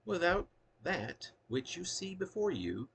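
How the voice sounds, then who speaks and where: A man with a gravelly, husky voice reading his own poem aloud in earnest, deliberate phrases, with casual asides. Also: high-pitched, very animated, fairly bright tone. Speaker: poet reading own work.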